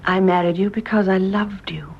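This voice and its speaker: Woman acting a tender, breathy British wife. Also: medium pitch, fairly even intonation, normal speed, lightly acted. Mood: tender, hushed. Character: devoted, anguished wife of an invalid husband. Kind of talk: radio drama acting. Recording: dull lo-fi radio drama with hiss.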